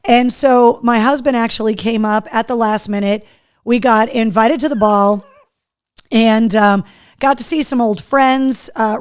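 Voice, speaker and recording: A woman hosting a live show in casual chat, her voice hoarse, chatty and loose. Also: a little high. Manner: chatty, talks to her dog between remarks. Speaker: host.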